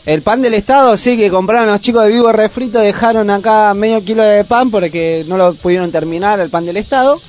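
Male Argentine host, high voice, informal cooking talk, colloquial and full of diminutives. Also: brisk, hardly any pauses, a little mellow. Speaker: host of a youth culture radio cooking segment. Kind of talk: casual cooking chat.

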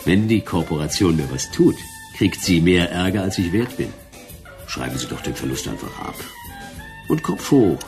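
An actor playing a laconic hard-boiled detective in a radio crime drama, with gravelly voice and dry, terse delivery. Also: male voice, deep voice, wildly swooping pitch, normal speed, over music, heavily acted. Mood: cool, assured. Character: laconic hard-boiled male detective, wryly narrating and talking.

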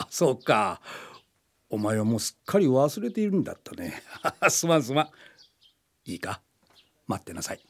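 Rich doting old father, indulgent, very animated pitch. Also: measured pace, long pauses. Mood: indulgent, tender. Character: wealthy doting father, indulgent older man. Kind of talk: radio drama acting.

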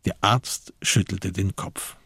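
A man's voice: low voice, steady tone, slow pace, gravelly, fairly bright tone, husky, no room sound, studio quality. A man, reading scripted literary narration for a radio drama.

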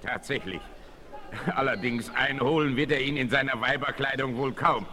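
An actor playing a towering frontiersman hero, commanding and gruffly good-natured, in a 1960s German western radio play. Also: mid-range voice, normal speed, crowd noise, close to an everyday voice. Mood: stern. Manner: commanding, emphatic. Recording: 1968 vinyl record, faint noise.

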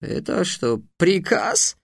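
A boastful young genie, all sly wheedling and high squeals, his pitch leaping up and down, as a male narrator puts him on. He is slippery and proud, always hunting for a loophole. The recording is dry and close. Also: very animated, slow, gravelly, breathy edge, no room sound, exaggerated voice. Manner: wheedling, tricky, squealing when scared.

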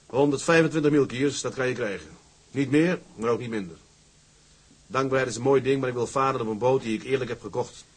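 An actor playing a proud, determined sea captain in a Dutch period radio drama, curt and commanding. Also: male voice, mid-range voice, a little fast, husky, lightly acted. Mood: hard, defiant.

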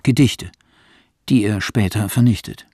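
Deliberate male questioner and narrator for radio drama, creaky, mellow voice. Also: lowish voice, no room sound, studio quality. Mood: measured. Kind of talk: scripted radio feature narration.

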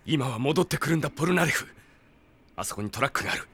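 An actor playing a level-headed, loyal young fighter in an audio drama, firm and composed even when urgent. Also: male voice, medium pitch, quick pace, husky, full character voice. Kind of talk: Japanese audio drama acting.

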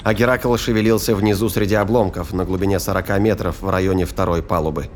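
A man narrating a scripted science-fiction thriller in a measured, formal storytelling manner. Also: deep voice, fairly even intonation, a little hiss or hum.

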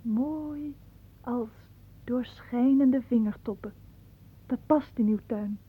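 An actress playing a polite young woman who gently humours her aunt in a Dutch radio play. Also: a little high, takes it slowly, slightly rough. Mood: gentle, reassuring. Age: young adult. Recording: muffled phone-like old radio recording.

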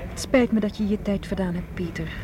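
Radio drama heroine, actress, headstrong and anxious, insistent questioner, medium pitch, clear background noise. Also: female voice, brisk, close to an everyday voice. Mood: puzzled, questioning. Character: anxious, headstrong woman searching for her missing lover. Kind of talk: Dutch radio play acting.

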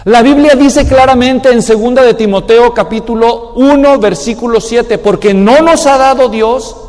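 Man, preacher, high voice, emphatic sermon, long reverb of a hall. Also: normal speed, a little mellow. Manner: emphatic, acts out excuses in a mocking tone.

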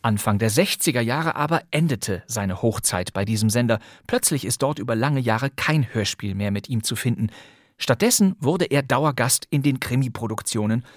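A man hosting a radio-drama podcast, narrating a script in a lively, chatty way and speaking straight to the listener. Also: medium pitch, moderate pace, no echo, studio quality. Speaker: podcast host. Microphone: close studio microphone.

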